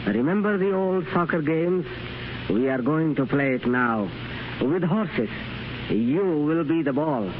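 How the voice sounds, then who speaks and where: An actor playing a cruel, mocking desert sheikh in a 1930s radio drama, taunting and menacing. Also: male voice, a little high, lively intonation, slow pace. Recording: muffled old radio broadcast with hiss.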